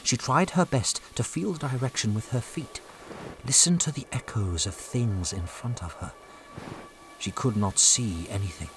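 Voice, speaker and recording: A man narrating a story dramatically, building suspense with short weighted phrases and pauses. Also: mid-range voice, a little hiss or hum. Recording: loud storm ambience behind narration.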